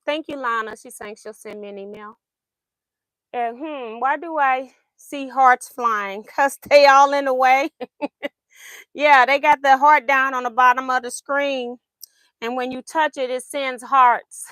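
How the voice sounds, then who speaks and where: Brief: high-pitched woman, chatty livestream host, slightly strained voice, dry close sound. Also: steady tone, measured pace, fairly bright tone, dry recording. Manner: chatty, laughs often, reads viewer comments aloud. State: voice going in and out. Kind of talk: casual livestream chat while sewing.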